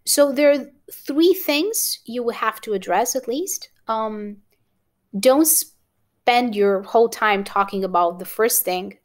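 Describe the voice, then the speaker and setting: A woman's voice: fairly high pitch, slow pace. Encouraging Romanian-accented woman teacher. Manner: encouraging, checks in with "okay?". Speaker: English teacher. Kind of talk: exam-prep lesson to camera.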